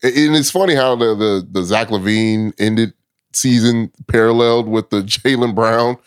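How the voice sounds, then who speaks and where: A man with a low voice talks as a podcast guest in relaxed, slangy sports chat. He laughs often between phrases. The sound is dry and close to the mic. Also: normal speed, clear, resonant tone, no room sound. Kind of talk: casual sports talk.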